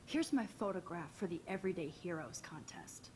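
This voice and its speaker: A woman playing an earnest, quietly brave teenage girl in a video game, speaking softly and sincerely with a hushed delivery. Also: fairly low pitch, expressive, a little fast, slight background noise, close to an everyday voice. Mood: quietly pointed. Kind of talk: video game voice acting, soft introspective delivery.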